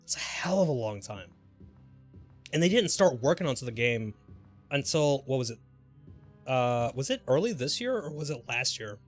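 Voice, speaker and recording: A man's voice: mid-range voice, very animated, normal speed, long pauses. A man chatting casually and informally on a live gaming stream, speculating in a rambling way. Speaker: game livestreamer. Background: background music.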